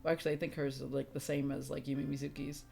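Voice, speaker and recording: Low-voiced woman streaming a game. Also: little pitch movement, fast, vocal fry, music in the background. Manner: frank, chatty, mildly sweary. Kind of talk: casual reacting to a video game.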